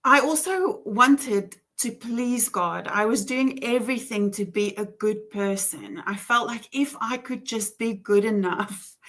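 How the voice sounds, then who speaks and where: A woman's voice: medium pitch, a bit bright. A woman with an Afrikaans accent, a native Afrikaans speaker from South Africa, telling her faith story in an earnest, reflective way with many ums and small laughs. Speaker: interview guest. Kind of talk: personal faith testimony.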